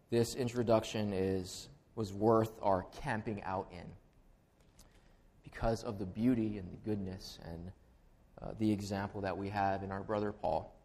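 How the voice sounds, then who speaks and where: Hawaiian-accented pastor preaching. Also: male voice, on the low side, darkish tone. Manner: earnest, measured preaching with a slow, deliberate prayer. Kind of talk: sermon.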